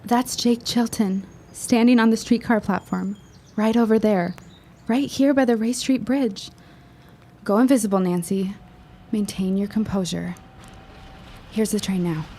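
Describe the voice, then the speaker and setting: An actress playing a witty, eloquent high school girl with a precise, wry manner in a period audio drama. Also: steady tone, unhurried, dark tone. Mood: hushed excitement.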